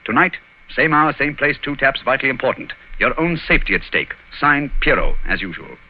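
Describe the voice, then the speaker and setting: A man acting a confident English gentleman detective in an old radio drama, clipped, wry and commanding. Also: medium pitch, sing-song, brisk. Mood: confident, brisk. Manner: urgent, clipped, commanding, theatrical. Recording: muffled, noisy old radio recording.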